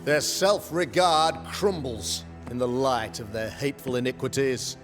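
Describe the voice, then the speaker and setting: A man's voice: mid-range voice, unhurried, close to an everyday voice. An actor playing a pompous, self-righteous colonial governor who sermonizes grandly over his townsfolk. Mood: preaching. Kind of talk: video game cutscene acting. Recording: long reverb under game music.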